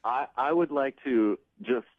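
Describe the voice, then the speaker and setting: A man's voice: mid-range voice, unhurried, dry recording, narrow, tinny audio. A young man calling in to a radio show, arguing casually and defensively with exasperated, sardonic remarks.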